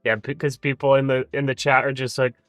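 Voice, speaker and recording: A man hosting a live day-trading stream, thinking aloud over charts, often trailing off into quiet remarks and laughing easily. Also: mid-range voice, flat delivery, brisk, dry recording, soft background music. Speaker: day-trading livestream host. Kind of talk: live trading commentary with guests.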